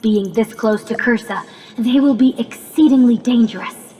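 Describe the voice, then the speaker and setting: Woman acting a formal, high-voiced AI companion in reverb. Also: mellow, slight background noise, lightly acted. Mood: grave, informative. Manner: formal, precise, measured phrasing. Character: calm, analytical female robot AI companion, earnest and encouraging. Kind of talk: scripted video game cutscene acting.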